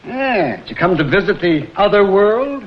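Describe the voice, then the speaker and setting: An actor playing a chatty, unhurried American morgue attendant with a folksy, rambling way of talking in a vintage detective drama. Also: male voice, high voice, sing-song. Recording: muffled old film soundtrack, faint noise.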